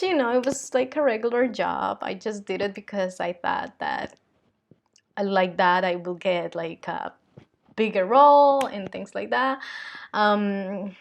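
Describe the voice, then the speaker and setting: A woman in her thirties from Colombia with a Spanish accent, talking casually and haltingly to her vlog audience with many fillers. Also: fairly high pitch. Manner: soft-spoken, halting, with frequent fillers and little laughs. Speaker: spiritual vlogger. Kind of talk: casual vlog talk to camera.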